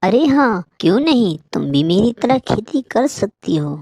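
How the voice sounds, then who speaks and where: A woman's voice: mid-range voice, big pitch swings, a little slow, gravelly, dark tone, dry recording, heavily acted. A woman voicing a kind, hardworking young male rabbit farmer in a cartoon moral story, earnest and helpful in manner. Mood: earnest, friendly.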